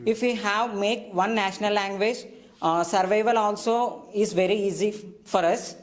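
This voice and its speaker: A man's voice: sits high, steady tone, normal speed. A man from Andhra Pradesh with an Indian English accent, giving a hesitant classroom debate speech full of fillers. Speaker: debate student.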